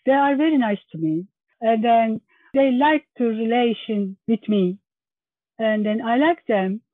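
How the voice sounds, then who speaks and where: A woman's voice: fairly high pitch, unhurried, no room sound. A woman with a Turkish accent being interviewed as an English learner, with careful, non-native phrasing. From Turkey. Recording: thin phone-like line.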